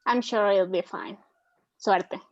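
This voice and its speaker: A woman's voice: medium pitch, steady tone, slow, lightly acted. A woman playing a friendly, reassuring coffee shop owner in her thirties in a screenplay read, over dull call audio. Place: remote virtual call.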